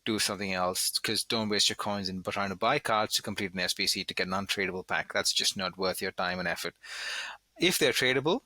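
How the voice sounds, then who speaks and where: A man's voice: deep voice, a little fast, bright, forceful tone, no echo. A man co-hosting a casual gaming podcast, handing out tips in an emphatic, instructive way. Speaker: podcast co-host giving game tips. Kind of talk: casual gaming advice chat.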